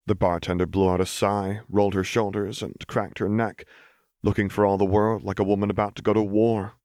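Man, audiobook narrator, low voice, dry close sound, scripted fiction. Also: level tone, brisk, darkish tone, no echo. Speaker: audiobook reader.

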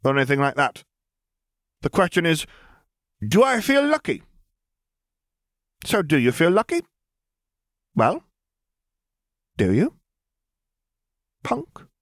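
Audiobook role: brash young female witch crime boss, played by a man, pitched well up, glib, wry and taunting. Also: huge pitch swings, takes it slowly, long pauses, no echo, studio quality, full character voice. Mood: taunting, menacing. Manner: glib, mock-polite, theatrical taunting. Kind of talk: acted dialogue in fan fiction audiobook.

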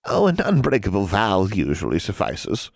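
Sly mocking old hag lender, man doing the voice, lively swooping cackle, dry close sound. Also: lowish voice, vocal fry, breathy edge, dry recording, pitched above the performer's own voice, exaggerated voice. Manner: cackling, teasing, drawn-out.

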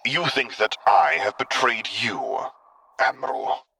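A man voicing a stern, exasperated armored dark lord in an audiobook, with formal, clipped, commanding speech. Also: medium pitch, lively intonation, slow, vocal fry, a little hiss or hum, pitched above the performer's own voice, exaggerated voice. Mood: cold, accusing. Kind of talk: audiobook character voice.

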